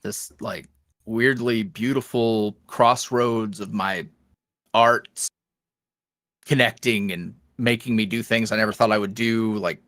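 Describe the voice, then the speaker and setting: A man talking casually as a podcast guest, in a rambling, animated, self-deprecating way. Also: on the low side, takes it slowly, no echo, clean studio sound. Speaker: podcast guest, ASMR roleplay voice creator. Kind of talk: casual interview chat.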